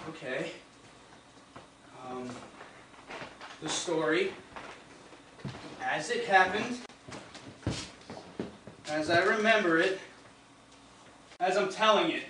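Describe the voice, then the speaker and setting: An actor playing a flustered, tipsy husband spinning a tall story in a comic stage monologue, with theatrical delivery, recorded on an echoey theatre stage. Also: male voice, high voice, far from the mic, noisy background. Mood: nervous, stalling. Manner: theatrical, halting then excitable storytelling. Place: indoor stage of a small theatre.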